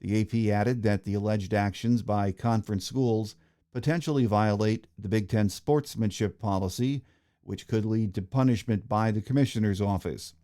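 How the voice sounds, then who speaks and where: A man's voice: fairly low pitch, moderate pace, warm tone. An American man hosting a sports podcast, reading scripted news and introductions.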